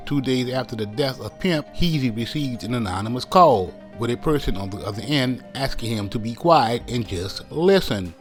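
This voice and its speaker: Man narrating a dramatic documentary over music. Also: mid-range voice. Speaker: narrator of a hip-hop news channel. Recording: music bed under voice. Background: background music.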